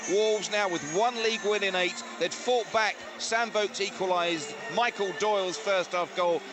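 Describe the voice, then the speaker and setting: Clear background noise surrounds a male football reporter at a stadium. His voice sits high as he delivers live match commentary and score reports. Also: measured pace. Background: stadium ambience.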